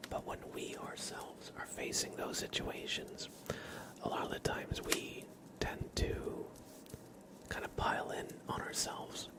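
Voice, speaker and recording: A man hosting an ASMR livestream, talking in a gentle, reassuring, soothing way. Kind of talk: whispered ASMR, soothing affirmations and chat to viewers.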